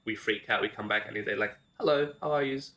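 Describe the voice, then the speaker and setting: Low-pitched young man telling his story casually. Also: brisk, pushed and bright. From Iraq. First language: Arabic. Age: young adult. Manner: informal, repeats phrases, frequent "like". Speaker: podcast guest.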